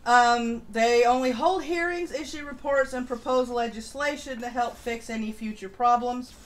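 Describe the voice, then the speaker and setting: A woman's voice: a little high, moderate pace, poor audio. A woman hosting a political livestream, reading through news with casual, opinionated commentary. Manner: informal, opinionated, swears casually. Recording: noticeable background noise.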